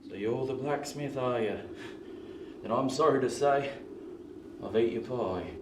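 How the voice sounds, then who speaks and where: An actor playing a rough, vengeful, defiant escaped convict in a radio play, with a forceful manner. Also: male voice, medium pitch, measured pace, close to an everyday voice. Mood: subdued, gruff. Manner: forceful, vehement. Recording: roomy, distant, faint noise.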